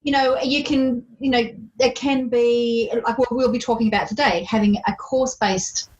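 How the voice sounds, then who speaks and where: A woman's voice: fairly high pitch, moderate pace, muffled recording. Upbeat woman hosting a podcast. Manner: upbeat, animated, addressing listeners directly. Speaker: podcast host. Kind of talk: enthusiastic podcast interviewing.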